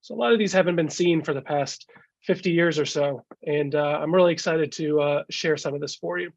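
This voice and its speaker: A man with a cold and a gravelly voice giving a casual slideshow talk as a guest speaker, halting with many fillers, over a video call. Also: on the high side, no room sound. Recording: dull lo-fi video call audio.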